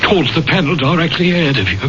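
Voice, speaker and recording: A man's voice: a little high, animated, fast talker, close to an everyday voice. A man playing a deferential, flustered manservant with a hesitant, stammering way of talking in a radio mystery drama. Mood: shaken, deferential. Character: deferential, flustered manservant, fussy and eager to explain. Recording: dull, noisy old radio broadcast.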